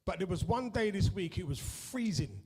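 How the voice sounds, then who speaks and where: A middle-aged man with a broad East London accent works a live comedy crowd in a high, bright-edged voice. He rambles in a chatty way, swears freely and tags his lines with "innit" and "right". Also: expressive, moderate pace, slightly rough. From East London, England. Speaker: stand-up comedian. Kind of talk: stand-up comedy set with crowd work. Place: comedy club with a live audience.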